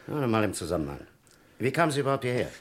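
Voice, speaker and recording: An actor playing a brisk, commanding police captain in an old German radio crime drama, curt and probing as he questions people. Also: mid-range voice, very animated, lightly acted. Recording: vintage radio play with clear background noise.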